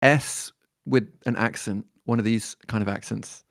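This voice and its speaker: A man, talking as a guest musician in a relaxed interview, thoughtful and hesitant with many fillers and restarts. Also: lowish voice, measured pace, vocal fry, no echo, studio quality. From born in Detroit, raised in London.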